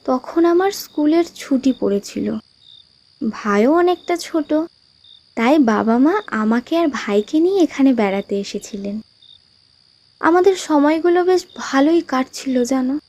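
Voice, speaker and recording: A high-voiced invisible girl of about twenty in a cartoon ghost romance. She is gentle, shy and wistful, and talks in an intimate, storytelling way. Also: animated, moderate pace. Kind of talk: Bengali animated love ghost story dialogue.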